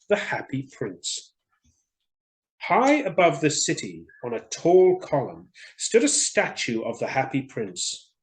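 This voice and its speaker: A man reading stories aloud to children in an expressive way, speaking directly to his young listeners. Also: mid-range voice, low-quality recording. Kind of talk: children's story reading, scripted narration.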